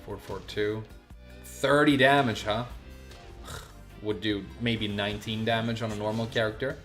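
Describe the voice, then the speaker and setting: Low-voiced male streamer, halting and off-the-cuff. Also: steady tone, over music. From Sweden. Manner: halting, searching for words with repeated false starts. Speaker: live streamer. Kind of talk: casual unscripted livestream chat and game commentary.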